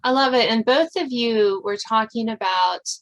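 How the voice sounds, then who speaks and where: A woman's voice: a little high, moderate pace, fairly bright tone, poor audio. A woman hosting a podcast, talking with guests in a conversational interview style. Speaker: podcast host.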